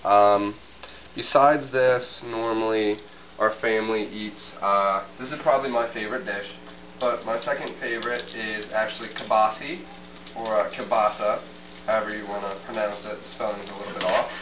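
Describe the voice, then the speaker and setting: A man's voice: lowish voice, fairly even intonation, normal speed, close to an everyday voice. A man playing a young Polish man who talks hesitantly with many ums while he cooks in a home kitchen, recorded from a distance. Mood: relaxed. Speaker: interviewee cooking on camera. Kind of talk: amateur comedy sketch interview. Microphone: camera mic from a distance. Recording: roomy, distant, hissy home video.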